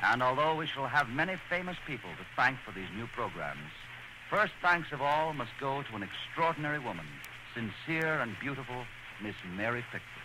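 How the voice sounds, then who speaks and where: A male radio host from the 1930s speaks formally and theatrically. His pitch swings widely, and the old broadcast is clearly noisy. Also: very animated, moderate pace. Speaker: radio show host and narrator. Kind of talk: 1930s radio announcing, dramatic scripted narration.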